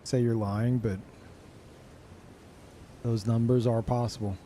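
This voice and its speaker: A man's voice: medium pitch, flat delivery, slow pace, dark tone, slight background noise. A man in his thirties casually chatting and swearing as he reads out chat messages while streaming a game.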